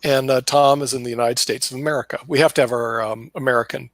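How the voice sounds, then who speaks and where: A man hosting a loose chat over a video call, rambling along with plenty of ums and false starts and laughing at himself. His voice sits at an ordinary middle pitch, and the sound is close and dry with no room in it. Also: fairly even intonation, fast, no room sound. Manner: rambling, laughing, many fillers and restarts. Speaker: host.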